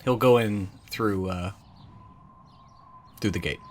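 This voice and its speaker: A man playing a tabletop game, improvising his character's words and actions in a hesitant, halting way. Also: lowish voice.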